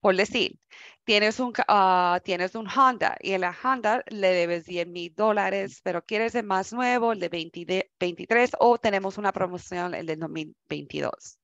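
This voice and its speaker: A woman's voice: medium pitch, measured pace, fairly bright tone, no room sound. A woman, an English speaker, presents a financial workshop over a video call. Her delivery is conversational, full of restarts and English words. The sound is dry and a little dull.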